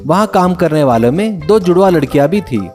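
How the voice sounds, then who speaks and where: A man's voice: a little high, expressive, brisk, darkish tone, faint music behind. A man narrating a scripted moral tale as a storyteller.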